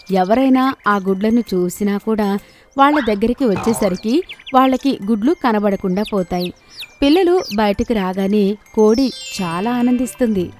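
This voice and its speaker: A female narrator reads a scripted moral tale for children. Her pitch is middling. Light, tinkling music plays underneath. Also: darkish tone. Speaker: storyteller, narrator.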